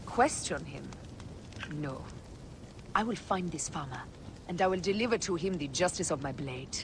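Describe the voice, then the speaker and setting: A woman's voice: low-pitched, expressive, moderate pace, background noise. An actress playing a stern, resolute woman of ancient Egypt who serves a queen, formal and commanding in a video game drama. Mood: cold resolve.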